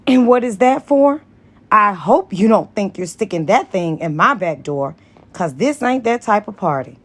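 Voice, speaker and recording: A woman's voice: mid-range voice, expressive, moderate pace, natural voice. A woman voicing a persistent, smitten married man who pleads with his lover in an audiobook. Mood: puzzled. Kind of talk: dramatized audiobook dialogue.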